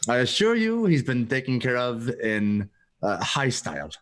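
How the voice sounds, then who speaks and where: Actor as a formal, secretive salesman, swooping pitch. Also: wildly swooping pitch, fairly bright tone. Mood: smoothly assuring. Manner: formal, measured, assuring. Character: smooth, secretive male salesman of illegal duplicate androids. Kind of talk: radio play acting.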